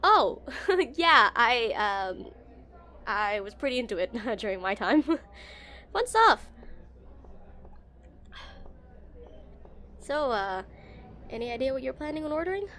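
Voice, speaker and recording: A woman's voice: a little high, sing-song, a little slow, bright, forceful tone, exaggerated voice. A woman playing an awkward, shy female game developer on a dinner date in a romantic audio roleplay, flustered and hesitant. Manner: flustered, hesitant, stammering, giggly.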